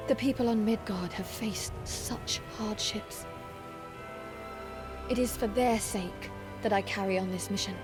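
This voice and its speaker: A woman playing a proud, stern Valkyrie warrior in a fantasy video game, speaking in formal, measured phrases. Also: mid-range voice, lightly acted. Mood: troubled, doubting. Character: solemn, proud young female Valkyrie warrior, dutiful and stern. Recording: loud orchestral music behind voice.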